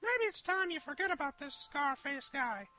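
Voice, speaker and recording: A woman voicing a small scheming puppet sidekick in a superhero fan dub, pushy, excitable and wheedling. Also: sits high, normal speed, a little hiss or hum.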